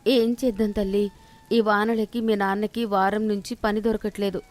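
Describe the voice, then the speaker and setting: A woman's voice: mid-range voice, level tone, moderate pace, darkish tone, soft background music, natural voice. A woman voicing a poor, patient but worried village mother in a storybook, homely and plain-spoken. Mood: soothing. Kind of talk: acted moral storybook dialogue.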